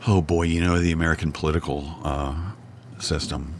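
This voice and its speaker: A man's voice: rumbling low, flat delivery, a little fast. A man chatting as a podcast guest, wry and easygoing. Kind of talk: casual chat.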